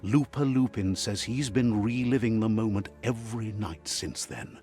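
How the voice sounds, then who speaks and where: A man as a deep-voiced, solemn game storyteller. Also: moderate pace, darkish tone, natural voice. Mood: grave, somber. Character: grave male storyteller narrating a dark animal fable. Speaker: storyteller narrator. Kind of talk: scripted narration for a fable-like video game. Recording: music behind the voice. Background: game music.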